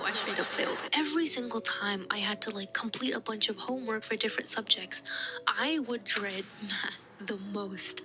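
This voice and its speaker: A young adult woman telling stories to camera in a casual, animated way, with frequent laughs and fillers. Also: a little high, poor audio. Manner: animated and giggly, with many fillers. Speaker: vlogger. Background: background music.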